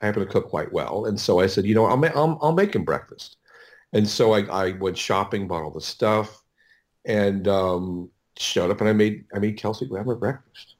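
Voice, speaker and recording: Podcast guest: American male, middle-aged, slightly low pitch, loose halting storytelling with frequent self-corrections. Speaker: audiobook producer, podcast guest. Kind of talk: casual interview storytelling.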